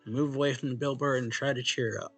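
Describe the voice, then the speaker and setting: A man's voice: mid-range voice, fairly even intonation, quick pace, slightly rough, dry recording. A man reading a visual novel's narration and dialogue aloud expressively, as a let's play host. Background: game music.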